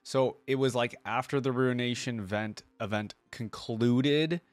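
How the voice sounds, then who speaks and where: Very low-voiced woman reacting casually. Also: a little slow, dry recording. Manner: informal, musing aloud. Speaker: reaction video host. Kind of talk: casual commentary.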